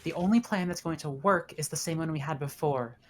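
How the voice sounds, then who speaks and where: A woman's voice: low voice, a little fast. A woman playing a commanding, skeptical spaceship officer in a table read of a sci-fi horror script. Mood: firm.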